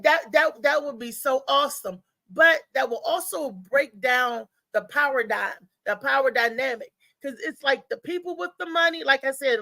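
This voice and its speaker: A woman hosting a live talk show with casual, conversational commentary, often halting and repeating words as she goes. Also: high voice, creaky, pushed and bright, no room sound.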